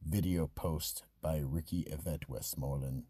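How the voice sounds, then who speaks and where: An actor playing a grave, ominous horror storyteller, husky and measured, narrating a mystery radio drama. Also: male voice, very deep voice, steady tone, warm tone, dry recording, studio quality, exaggerated voice.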